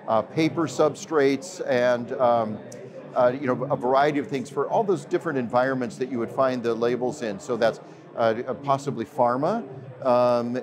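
An older man interviewing a guest at a trade fair, asking long, meandering questions. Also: mid-range voice. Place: busy trade fair hall, indoors. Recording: crowd noise of a trade fair. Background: crowd chatter.

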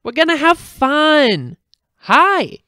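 Guy doing a bubbly bossy cartoon mom, super high singsongy voice, drags words out, close dry recording. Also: big pitch swings, pushed and bright, dry recording, heavily acted. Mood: excited, cheery. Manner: exuberant, drawn-out, singsong exclamations. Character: loud excitable middle-aged mother, bubbly and bossy.

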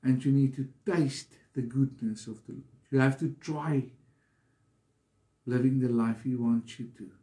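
Slow, mellow male preacher. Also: mid-range voice. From South Africa. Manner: earnest, deliberate, pausing between short phrases. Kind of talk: devotional sermon.

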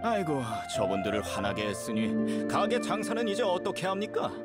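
A man's voice: high voice, very animated, normal speed. A man voicing a timid, flustered restaurant owner in a video game, fretful and stammering. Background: loud game music.

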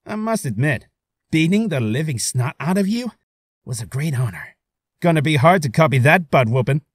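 A man's voice: on the high side, lively intonation, normal speed, slightly rough, no room sound, studio quality. A man voicing a cocky young superhero with breezy, joking taunts for a voice reel. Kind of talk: character voice reel.

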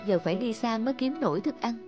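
A woman plays an anxious mother stork in a cartoon fable. Her voice is high, tender and worried, often musing to herself. Music plays behind her. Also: fast, dull audio, natural voice. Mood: worried, weary. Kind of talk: animated moral fable voice acting.

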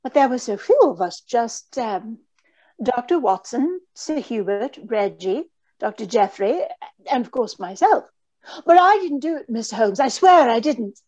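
Woman doing a scared young lady in an old radio mystery, kinda high voice, fretful and hesitant. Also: lively intonation, unhurried, darkish tone. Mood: flustered. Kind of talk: old-time radio drama acting. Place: indoor room on a video call.

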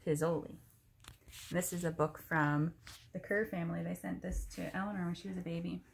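A woman's voice: fairly low pitch, flat delivery, brisk, vocal fry. A woman in her thirties reading aloud and chatting casually with a child at home. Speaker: adult reading a picture book to a child. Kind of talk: casual read-aloud with chat. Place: living room sofa at home. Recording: faint noise, home video.

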